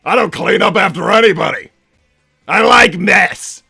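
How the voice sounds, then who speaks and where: A man voicing a bombastic middle-aged mad-scientist villain and doting father, with a raspy, gravelly voice and a theatrical, blustering manner, improvising on a livestream. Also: sits high, unhurried, bright, forceful tone, faint music behind, exaggerated voice. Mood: blustering, bemused. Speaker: livestream host in character. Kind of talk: improvised in-character livestream Q&A, reading out viewer messages.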